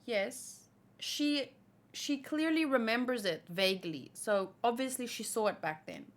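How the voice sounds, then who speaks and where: A woman hosting a casual read-along, reading comic dialogue aloud and chatting as an animated fan. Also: a little high, unhurried. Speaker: host reacting to a manga chapter read-along.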